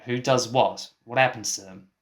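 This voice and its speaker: A man's voice: medium pitch, moderate pace, close to an everyday voice. A man playing a baffled, exasperated interviewer in a comic radio play, with a dry, incredulous, questioning manner. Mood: sceptical. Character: exasperated, baffled male interviewer and film producer. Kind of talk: scripted comic mock interview.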